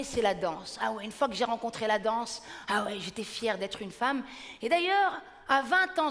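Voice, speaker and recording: A woman in her thirties from Belgium doing stand-up comedy on a theater stage, punchy and energetic, acting out family members. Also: high-pitched, in a large hall.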